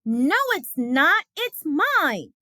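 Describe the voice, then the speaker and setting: A woman voicing an excitable, stubborn small girl in a storybook, with an emphatic, whiny delivery. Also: high-pitched, drawn-out words, dry recording, studio quality, pitched above the performer's own voice, full character voice. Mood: defiant.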